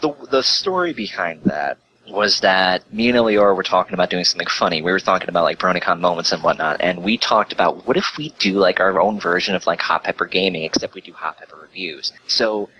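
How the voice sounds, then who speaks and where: A man's voice: low voice, a little fast, hardly any pauses, pushed and bright, dull audio. A man chatting casually on a voice call, telling stories with plenty of swearing and exasperated asides. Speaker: guest, online video creator. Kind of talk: casual profane storytelling chat.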